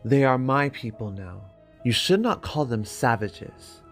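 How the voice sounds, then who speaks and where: Man voicing a soft-spoken young noblewoman. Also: medium pitch, animated, measured pace, soft background music. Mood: earnest. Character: young noblewoman, gentle but newly confident khaleesi.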